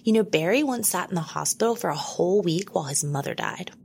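A woman's voice: lowish voice, expressive, quick pace, gravelly, darkish tone, slight background noise. A woman playing a naive, earnest and oblivious girlfriend in a comedy audio drama.

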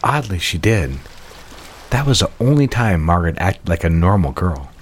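Deep-voiced, tender man in love. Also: sing-song, normal speed, slight background noise. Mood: earnest. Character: soft-spoken, devoted man in love, confiding his past. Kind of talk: audio drama dialogue.

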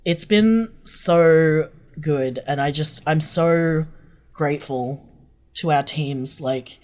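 Interview guest, podcast director type. Mid-pitched voice, gushing and informal. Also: slow pace, a little mellow. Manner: effusive, gushing. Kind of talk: casual interview chat.

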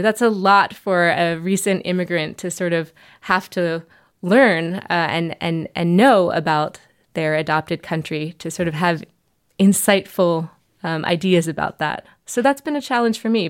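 A woman researcher explaining her project in an interview, talking with many pauses and ums. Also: on the low side, smooth. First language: not Danish. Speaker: researcher guest.

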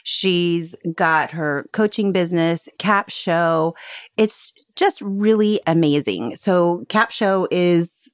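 A woman's voice: lowish voice, slow, no echo, studio quality. A woman co-hosting a podcast who talks in a gushing, enthusiastic way and laughs often. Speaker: podcast co-host. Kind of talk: upbeat podcast intro and interview.

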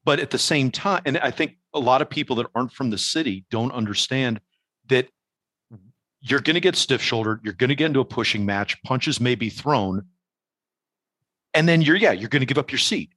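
A man from New York City hosting a casual conversation, telling stories with animated energy and swearing freely. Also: mid-range voice, normal speed, no room sound. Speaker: podcast host.

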